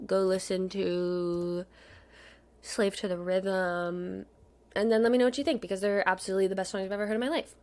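A woman's voice: mid-range voice, steady tone, normal speed, a little mellow. A young adult woman chatting casually over screen browsing as a video host, gushing and slangy, sometimes dropping to a murmur. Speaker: video host, K-pop photocard collector. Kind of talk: casual rambling screen-share commentary.

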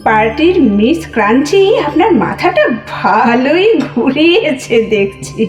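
A woman playing an excitable, sceptical questioner in an audio drama, challenging a suspect. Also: high voice, a little slow, reverberant, soft background music. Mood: sceptical, mocking.

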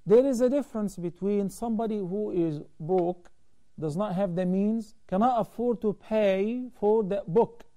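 A man in his thirties, an Islamic scholar hosting a call-in TV show, explaining rulings in a measured way from a studio desk on a lapel mic. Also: sits high, mellow. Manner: measured, explanatory, gestures while talking. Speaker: Islamic scholar answering viewer questions. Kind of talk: call-in religious Q&A.

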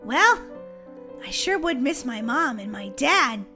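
A woman's voice: sits high, big pitch swings, slow pace, sharp tone, soft background music, exaggerated voice. A woman voicing a sweet, earnest young girl who loves her family, in a game roleplay story.

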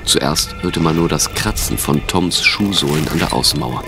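Very deep-voiced male narrator. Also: moderate pace, music in the background. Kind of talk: scripted audio drama narration.

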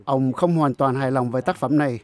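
A man's voice: medium pitch, fast, a little mellow. A man narrating a scripted news report as a reporter.

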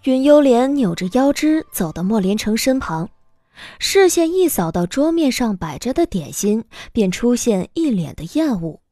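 Expressive, animated female narrator, soft tone. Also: fairly high pitch, moderate pace, no echo, clean studio sound. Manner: expressive storytelling. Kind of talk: scripted audiobook drama narration. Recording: music bed behind the voice.

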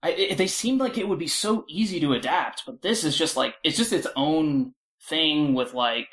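A man's voice: a little high, lively intonation, normal speed, a bit bright. A man with a mid-range voice chats casually as a panel guest. He rambles and repeats himself, and the sound is roomy with audible echo. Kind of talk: casual chat.

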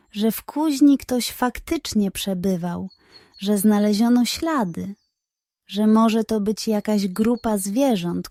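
A woman narrating a scripted bedtime story for children in an expressive, suspenseful way. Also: mid-range voice, no room sound, clean studio sound.

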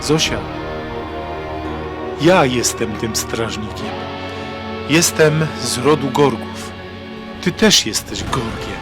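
Actor as slow, grave, secretive father. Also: mid-range voice, expressive, over music. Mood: grave, hesitant. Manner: slow, solemn, dramatic pauses. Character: grave protective father, secret guardian of a time gate. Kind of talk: radio drama acting.

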